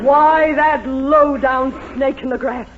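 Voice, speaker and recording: Bossy high-pitched battle-axe mother, old radio. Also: fairly even intonation, slow, a loud crowd. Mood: indignant, bossy. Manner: indignant, domineering. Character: bossy, hot-tempered middle-aged battle-axe mother. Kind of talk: 1940s radio sitcom acting. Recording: scratchy phone-like 1940s radio, loud audience.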